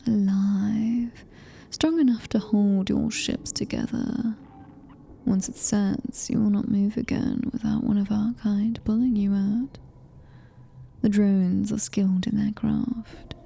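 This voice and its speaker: A woman performs a predatory alien-human hybrid female in a horror ASMR roleplay, speaking very slowly in a British accent. Her voice is dark and soft, with a creaky edge, sliding into breathy whispers. She is possessive, seductive and quietly commanding. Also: mid-range voice, full character voice. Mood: possessive reassurance.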